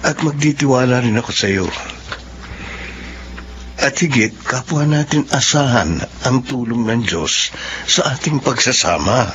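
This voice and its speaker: A man's voice: medium pitch, expressive, unhurried, pauses often, a bit bright. A man playing an earnest young doctor who reassures the woman he wants to marry in a radio drama. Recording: dull lo-fi audio, faint hiss.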